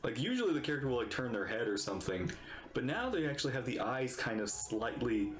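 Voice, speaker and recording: Animated, chatty male game streamer. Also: medium pitch, fast talker. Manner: amused, chatty. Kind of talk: casual live game reaction commentary. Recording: roomy echo, game music behind. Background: game music.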